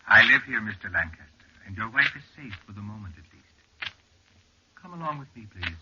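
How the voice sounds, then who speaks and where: An actor playing a calm, mysterious cliff-dweller guide in a 1940s radio horror drama, speaking in a formal, measured and polite way. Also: male voice, on the low side. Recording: very noisy, muffled, clicky vintage radio recording.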